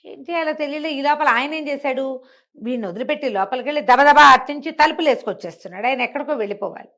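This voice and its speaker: A woman's voice: high voice, quick pace, a bit bright. A woman storyteller telling a bedtime folk tale conversationally, repeating and explaining small details as she goes.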